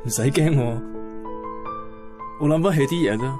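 A man's voice: medium pitch, normal speed, over music, lightly acted. An actor playing a heartbroken young man with a Hong Kong accent who keeps a casual, brave face in a radio drama. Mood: feigned calm.

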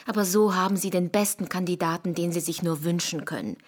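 An actress playing a cool, calculating political confidante who speaks in a controlled, persuasive way in a radio drama. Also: female voice, lowish voice, fairly quick, husky. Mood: cool, reassuring. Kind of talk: intimate radio drama dialogue.

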